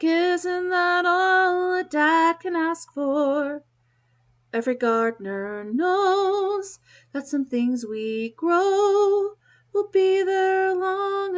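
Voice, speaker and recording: A woman's voice: extremely high pitch, exaggerated voice. A woman singing as a remorseful, loving father in a musical-theater farewell lullaby.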